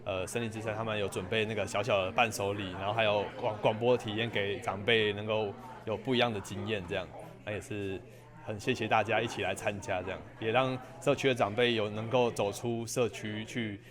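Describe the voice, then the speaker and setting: Male organizer, Taiwanese Mandarin, low register, interview answers with busy chatter behind. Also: flat delivery, fairly quick. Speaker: event organizer.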